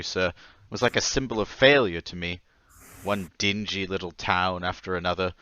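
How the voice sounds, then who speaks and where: A man plays a timid, downtrodden salesman with a lowish, sharp-edged voice. He is fretful and apologetic, hesitating as he talks in a radio-play manner. The recording is dull and very dry. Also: clear, resonant tone, no room sound. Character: meek, forgetful, gloomy male former travelling salesman. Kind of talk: old-time radio drama acting.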